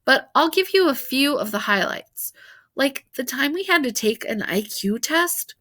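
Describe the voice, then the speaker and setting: A woman reading a children's novel aloud in an expressive, animated storytelling manner, with emphasis on key words. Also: high voice, unhurried. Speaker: storybook reader.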